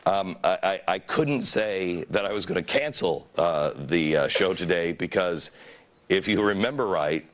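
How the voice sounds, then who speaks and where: A man's voice: low-pitched, animated, unhurried. A middle-aged male talk show host with a cold, losing his voice, rambling with many restarts as he leads a studio panel. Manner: rambling, self-deprecating, with frequent restarts. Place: television studio with audience.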